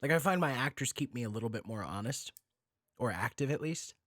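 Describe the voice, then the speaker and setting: A man's voice: mid-range voice, lively intonation, a little fast, fairly bright tone, dry recording, clean studio sound. Clean-sounding man hosting a casual podcast. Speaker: podcast host. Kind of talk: casual chat interview.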